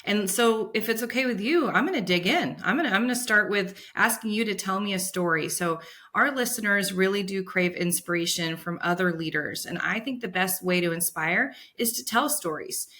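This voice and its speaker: Bright-voiced woman podcast host. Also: medium pitch, lively intonation, moderate pace. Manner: friendly, encouraging, laughs easily. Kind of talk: conversational interview.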